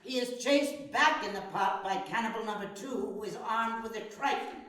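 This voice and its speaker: A woman's voice: a little high, moderate pace, a bit bright. A woman reading stage directions as narrator in a dramatic live play reading. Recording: echoey hall, far from mic.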